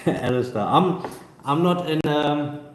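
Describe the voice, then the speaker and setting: Brief: thirties male travel vlogger, relaxed hesitant live Q&A on a phone, roomy echo, faint background ambience. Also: fairly high pitch, slow, a little hiss or hum. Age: 30s. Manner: relaxed, hesitant with many fillers and restarts. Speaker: travel vlogger answering viewer questions. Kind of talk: casual live stream Q&A. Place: busy hostel co-working space. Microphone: phone camera.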